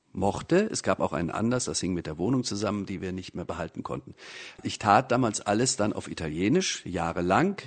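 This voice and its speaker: A man with a somewhat low, husky voice, speaking in a thoughtful, measured conversational manner. He is an author reflecting on his work and pauses often with small hesitations. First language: German. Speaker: author and translator. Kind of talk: conversational interview.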